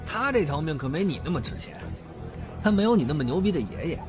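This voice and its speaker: Man acting a sneering, lively-pitched vengeful thug. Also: fast talker. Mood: sneering threat. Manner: sneering, crude swearing, taunting. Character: menacing, vulgar, disfigured older brother with a grudge. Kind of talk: Chinese audio drama acting. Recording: music behind the voice.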